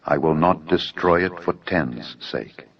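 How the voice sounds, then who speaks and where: A man playing a solemn God in a dramatised scripture reading, measured, grave and authoritative. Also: a bass voice, exaggerated voice. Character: solemn, measured God granting mercy or judgement. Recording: dull narrow audio over music.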